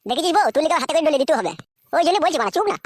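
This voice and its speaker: An exasperated cartoon village husband acted with an altered voice blusters and coaxes at a frantic pace. His voice is pitched up and high, with a crude way of talking. The sound is dry and close. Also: big pitch swings, rapid-fire, dry recording. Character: exasperated, crude village husband caught between two wives. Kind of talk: comedy cartoon dubbing.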